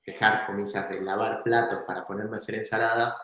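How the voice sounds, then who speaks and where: A man tells a story from his past in a relaxed, reflective way, laughing now and then. His voice sits slightly low. The room is very echoey and rings around him. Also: a little fast. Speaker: entrepreneur guest. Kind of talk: casual storytelling in an interview.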